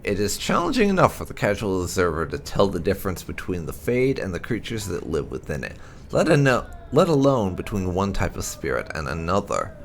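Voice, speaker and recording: A man narrates written game lore in a fairly low, creaky voice. His reading is deliberate and swings widely in pitch, leaning on key words for drama. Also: huge pitch swings, slight background noise. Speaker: host of a game playthrough channel.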